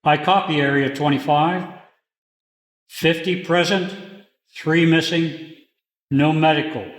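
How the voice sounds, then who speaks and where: A man reading scripted instructional material and radio procedures in a deliberate, procedural way. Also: on the high side, steady tone. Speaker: training presenter and radio net controller in demonstration.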